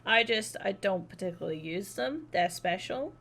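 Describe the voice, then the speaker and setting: A woman's voice: mid-range voice, expressive, moderate pace, vocal fry, a bit bright. A woman livestreaming a game, chatting casually in an informal, rambling, sweary way. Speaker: live streamer.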